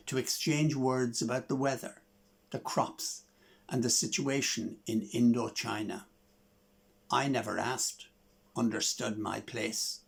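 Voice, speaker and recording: Older irish guy reading poems and introducing acts, slow talker, raspy husky voice. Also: mid-range voice. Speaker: host introducing songs and reading his own poem. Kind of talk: poetry reading and scripted introductions.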